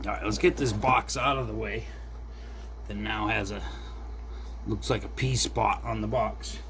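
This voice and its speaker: A man's voice: fairly low pitch, fairly even intonation, a little slow, fairly bright tone. Middle-aged man thinking aloud, noisy background. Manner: unscripted, thinking aloud while working. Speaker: hobbyist repair video maker. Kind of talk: casual hands-on repair demonstration, talking to viewers. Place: indoors at a desk workbench. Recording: background noise, room ambience.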